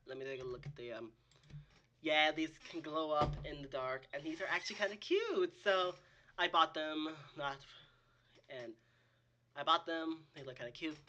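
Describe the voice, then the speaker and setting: A man with a husky, under-the-weather voice, hosting a casual reaction video in a rambling, exclamatory way. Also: on the high side, bright, forceful tone, dull audio, slight background noise. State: sick with a cold or similar illness. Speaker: host of a reaction channel.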